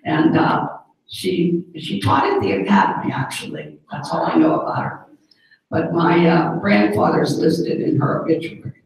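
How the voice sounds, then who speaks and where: A religious sister hosting a gathering, inviting guests to share stories in a chatty way with filler words. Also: female voice, low-pitched, normal speed. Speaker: host, sister of the religious community. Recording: echoey room, mic far off.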